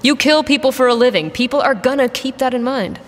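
A woman's voice: high-pitched, brisk, in a large hall. A woman playing a guarded, blunt, loyal mercenary pilot in an audio drama, with a dry manner. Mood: exasperated.

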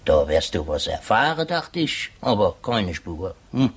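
An actor playing a talkative, gullible middle-aged prison warder in a 1950s radio play, eagerly curious and chatty. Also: male voice, lowish voice, sing-song, unhurried, breathy edge, exaggerated voice. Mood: grumbling, confiding.

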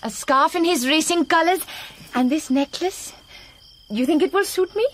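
Excitable young wife in a radio play, super high swoopy voice, noisy insects behind. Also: female voice, moderate pace. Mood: excited. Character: excitable, affectionate young married woman. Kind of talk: radio drama acting.

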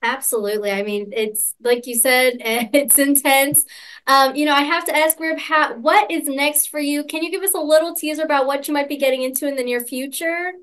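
A woman's voice: sits high, steady tone, fairly quick, fairly bright tone. A woman hosting a casual interview, effusive and agreeable in the way she talks. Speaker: interview host.

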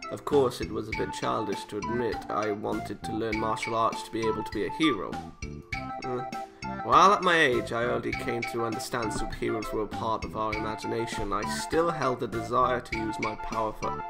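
A man's voice: on the low side, a little fast, vocal fry. A British man hosting a gaming video, chatting casually and reading visual novel text aloud with playful, self-interrupting asides. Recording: music playing behind the voice.

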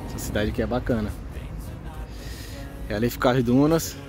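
A relaxed Brazilian man in his thirties rambles cheerfully to his viewers as he walks trails and a beach. He films himself on a handheld phone outdoors. Music plays clearly beneath his voice. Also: mid-range voice, moderate pace. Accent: Brazilian Portuguese. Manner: relaxed, chatty, addresses viewers as "pessoal". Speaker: travel vlogger. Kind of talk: casual vlog narration. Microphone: handheld phone camera.

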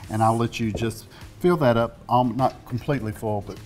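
A man's voice: medium pitch, normal speed, over music. A man in his forties hosting a casual cooking demonstration in a studio kitchen, chatty and easygoing as he explains each step. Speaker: cooking show host.

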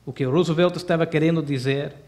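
Man giving a halting podium speech. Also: on the high side, steady tone, darkish tone. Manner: halting, frequent fillers, reading from notes. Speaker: US consul general giving opening remarks. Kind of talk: formal seminar speech. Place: conference auditorium, at a podium. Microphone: podium microphone.

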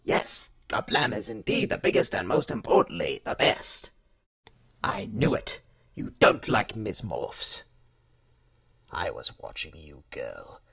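A man's voice: low voice, big pitch swings, takes it slowly, creaky, a bit bright, heavily acted. A man voicing a proud, grumpy alien company boss in a fan audio drama, with blunt, clipped phrasing. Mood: accusing.

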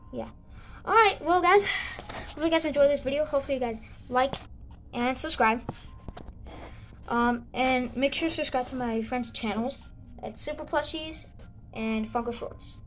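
A young girl with a sore throat, rambling casually through gameplay commentary with halting restarts and sudden excited outbursts. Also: high-pitched, a little hiss or hum. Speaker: gaming channel host.

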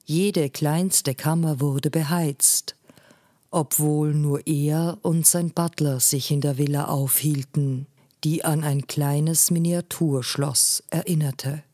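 A woman narrating a literary story in a measured way, phrase by phrase. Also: deep voice, steady tone, dark tone, dry recording, clean studio sound. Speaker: audiobook narrator.

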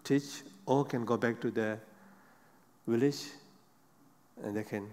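Soft-spoken monk with halting English, hall reverb. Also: male voice, mid-range voice, fairly even intonation. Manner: halting non-native English with many pauses and "you know". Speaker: Buddhist monk panelist. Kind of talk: unscripted panel talk. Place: seated on a large theater stage.

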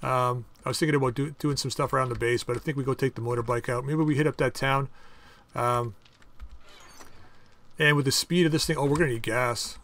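Man, game host, casual commentary, slightly hoarse, thinking aloud, keyboard typing behind. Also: mid-range voice, fairly even intonation, brisk, fairly bright tone. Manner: chatty, thinks aloud while crafting. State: losing his voice. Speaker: gaming channel host. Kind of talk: casual let's-play commentary.

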